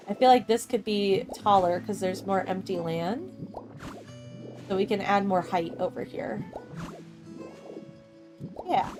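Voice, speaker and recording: Young woman, gameplay streamer, casual rambling chat, frequent laughs, faint game music behind, mid-pitched voice. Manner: chatty, rambling, laughs often.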